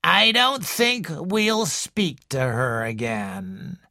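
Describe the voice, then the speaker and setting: A man's voice: a little high, huge pitch swings, creaky, pushed and bright, no echo, clean studio sound, voice pushed up, heavily acted. Wheezing domineering old woman, voiced by a male narrator. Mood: cold, controlling. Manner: slow, cold, controlling. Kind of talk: audiobook character reading.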